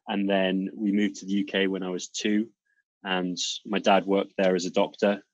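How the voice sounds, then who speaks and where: A man in his thirties with a British accent, a guest on a podcast who talks in a relaxed, easygoing way with a husky voice. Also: low-pitched, normal speed, dry recording. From UK, South Wales. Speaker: guest, fitness instructor. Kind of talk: casual interview chat. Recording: dull, lo-fi dry recording.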